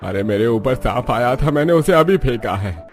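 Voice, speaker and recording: A woman voicing a panicked middle-aged village man who yells for help in a cartoon. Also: rumbling low, expressive, moderate pace, slight background noise, voice pushed down. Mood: panicked shouting. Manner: yelling for help.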